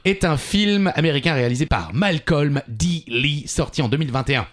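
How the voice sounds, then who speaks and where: A man's voice: fairly high pitch, animated, moderate pace. A man co-hosting a podcast quiz in a casual, jokey, self-deprecating chat.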